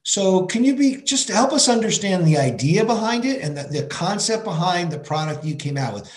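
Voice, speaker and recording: A man's voice: high-pitched, sing-song, normal speed, roomy sound. A man hosting a podcast, friendly and inquisitive as he asks his guest questions. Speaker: podcast host. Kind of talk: podcast interview.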